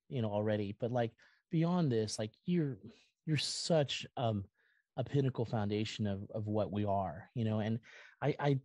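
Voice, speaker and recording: A man's voice: on the low side, mellow, no echo. A middle-aged American man speaking casually as an interview guest, rambling earnestly and effusively about himself. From California, United States. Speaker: podcast guest, musician and content creator. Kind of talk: casual, personal interview talk.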